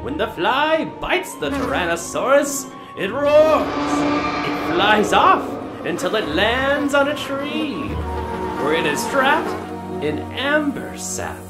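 A man voices a genial, grandfatherly park owner in a fan audio drama, presenting like a showman with a lively, swooping delivery. He takes his time over each phrase while music plays underneath. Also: very high voice, takes it slowly, full character voice. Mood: narrating.

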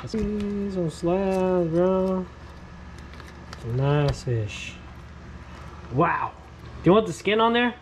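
A young man hosting a fishing vlog from a boat on a lake, excitable and chatty, often shouting when a fish bites. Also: sits high, slow pace, pauses often, noisy background. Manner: excitable, shouts on catches, says dude and bro a lot. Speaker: fishing channel host. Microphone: action camera worn on head.

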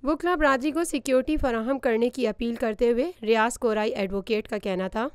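A woman's voice: fairly high pitch, darkish tone, a little hiss or hum. A woman reporter reading a formal news voice-over. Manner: formal, measured news read. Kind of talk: scripted news narration.

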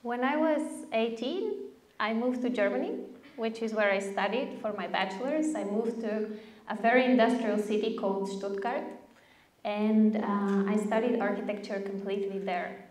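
A woman's voice: medium pitch, moderate pace, a little mellow. Enthusiastic woman giving a conference talk. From Bulgaria. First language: Bulgarian. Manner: enthusiastic, chatty, asks the audience with "right?" and "okay?". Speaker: speaker. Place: large darkened lecture hall. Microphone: podium mic on a stand. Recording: roomy hall sound.

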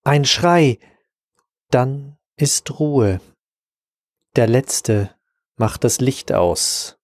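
A man's voice: mid-range voice, expressive, extremely slow, a little mellow, no echo, studio quality. A man giving a scripted reading of short poems.

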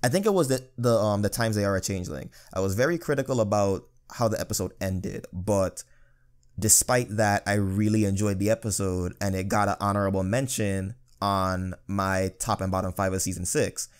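A young man with a deep, bassy voice that sounds firm even when he is relaxed. His tone is smooth and resonant, in easygoing casual talk. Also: moderate pace. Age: young adult. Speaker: guest reviewer. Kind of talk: casual podcast discussion.

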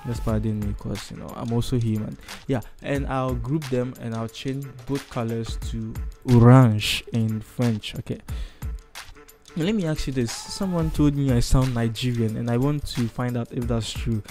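A man's voice: mid-range voice, moderate pace, mellow. A man from Ghana with a Ghanaian accent hosting a casual tutorial, chatty and rambling as he thinks aloud while working. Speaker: tutorial host. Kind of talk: casual screen-recorded software tutorial. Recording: music playing behind the voice. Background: music.

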